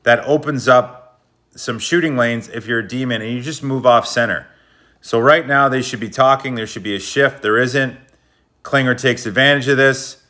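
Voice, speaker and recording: A man narrates hockey replays in a relaxed, slangy, coach-like way. His voice sits at an ordinary pitch but comes across bright and forceful. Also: normal speed. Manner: loose, slangy, coach-like explaining. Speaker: hockey video analyst. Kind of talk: casual play-by-play breakdown of game footage.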